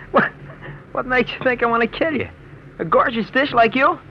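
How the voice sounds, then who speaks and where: A man's voice: very high-pitched, animated, normal speed, creaky, heavily acted. Muffled, menacing radio gunman. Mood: sly, amused. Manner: slangy tough-guy talk. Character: smooth-talking, menacing gunman, a small-time crook. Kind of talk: 1940s radio drama acting. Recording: muffled, noisy old radio recording.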